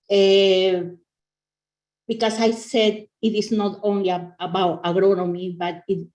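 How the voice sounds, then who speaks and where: Low-voiced woman from Peru. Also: darkish tone. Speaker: panelist. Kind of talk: panel talk.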